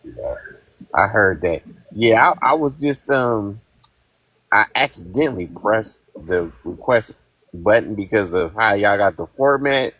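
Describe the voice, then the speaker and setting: A man's voice: lowish voice, expressive, unhurried, a bit bright, no room sound, rough recording. A man in his fifties from Northern California, calling into a casual podcast chat and talking bluntly, with heated, profane storytelling. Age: 50s. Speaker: live-stream caller.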